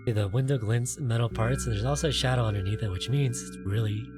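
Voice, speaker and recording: Game video host, expressive read-aloud of story text, clear music bed underneath. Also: medium pitch, quick pace. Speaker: gaming video host reading a visual novel aloud.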